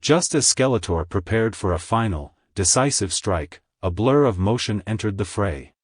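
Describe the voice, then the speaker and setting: Low-voiced man narrating a dramatic story. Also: expressive, moderate pace, dry recording, studio quality. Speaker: narrator, fan fiction reader.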